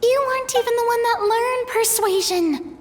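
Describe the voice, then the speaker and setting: A woman's voice: very high voice, moderate pace, slight background noise. An actress playing an earnest, practical young woman in a fantasy game, with a matter-of-fact manner. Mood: exasperated. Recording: music under the voice.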